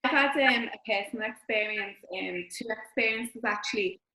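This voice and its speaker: A woman from Liverpool on a podcast panel, telling about her own experience in a measured way with filler pauses. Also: fairly high pitch, bright, forceful tone, echoey room, low-quality recording. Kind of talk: casual storytelling.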